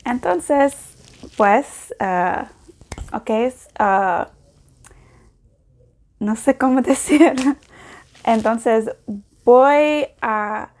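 Young woman, English native speaker, learner Spanish, very slow, slightly high voice, halting with fillers, self-correcting, home room, lapel mic. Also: darkish tone. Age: young adult. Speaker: language learner vlogger. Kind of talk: casual video diary in learner Spanish.

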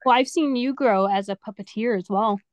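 A woman's voice: on the high side, fairly quick, dry recording. A young adult woman chatting casually as a guest on a video call. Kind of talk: casual chat. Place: small indoor room on a video call. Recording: dull, lo-fi video-call audio.

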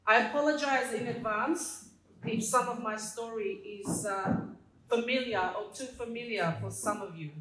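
A woman's voice: on the high side, normal speed, a bit bright. A woman in her fifties from Fiji gives a personal speech at a stand microphone, reading from notes with humor and laughing at her own jokes. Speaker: community association president. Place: small dark stage hall. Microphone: stand mic, filmed from a distance. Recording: roomy hall sound.

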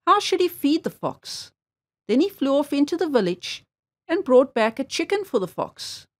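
A woman reads a children's story aloud. Her voice sits a little high and moves with animated intonation, phrasing each sentence expressively as a storyteller. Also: normal speed.

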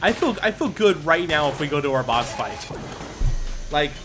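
A man giving casual, excitable commentary as he plays a video game, often talking to himself. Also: on the high side, normal speed. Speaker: gaming YouTuber. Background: game music and gunfire.

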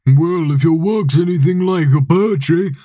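A man's voice: fairly high pitch, normal speed, dark tone, dry recording, close to an everyday voice. A teenage boy voicing a sardonic, sneering dockman in an amateur audio play. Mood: mocking.

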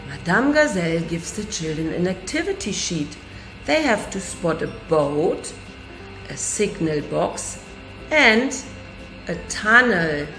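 Slow-reading woman in an echoey classroom. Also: lowish voice, faint music behind. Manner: lively storybook reading with raised voices for characters. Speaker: nursery teacher. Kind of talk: reading a picture book aloud to children. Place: classroom indoors.

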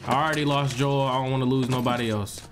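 A young man with a stuffed-up nose giving animated, joking gameplay commentary to his viewers. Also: mid-range voice, quick pace, background noise. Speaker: gaming streamer.

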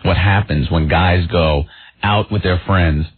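A man in his thirties co-hosting a radio show, animated and quick to laugh, mocking with put-on voices. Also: low-pitched. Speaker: radio co-host. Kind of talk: casual radio banter. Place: radio studio. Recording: tinny lo-fi radio broadcast with faint hiss.

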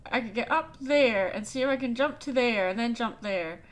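A woman's voice: fairly high pitch, moderate pace. A woman hosting a let's play, chatting casually and exclaiming at what happens on screen. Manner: chatty, easily startled, exclaims at the game. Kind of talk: casual gameplay chat.

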